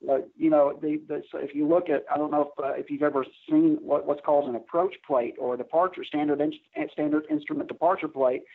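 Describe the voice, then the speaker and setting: Male guest, slightly high husky voice, halting technical explainer, tinny phone-like sound. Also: steady tone. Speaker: interview guest, air traffic controller. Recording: narrow, tinny phone-like line.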